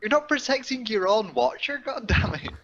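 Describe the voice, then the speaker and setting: Bright-voiced man chatting over a game. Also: very high-pitched, normal speed, vocal fry, dull audio. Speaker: player in a roleplaying game. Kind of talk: casual game chat. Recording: faintly noisy voice-chat mic.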